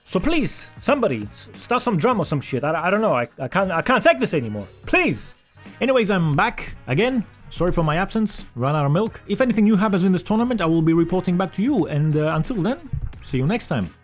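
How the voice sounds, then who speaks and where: Male gaming news host, high voice, brisk pace, sarcastic and casual. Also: sing-song, faint music behind. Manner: sarcastic, jokey, casual with swearing. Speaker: video host. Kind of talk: scripted esports news narration.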